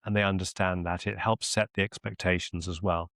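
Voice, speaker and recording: Deep, creaky-voiced male mentor. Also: steady tone, a little fast, fairly bright tone, dry recording, studio quality. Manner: reassuring, explanatory. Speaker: medical mentor. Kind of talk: conversational mentoring call.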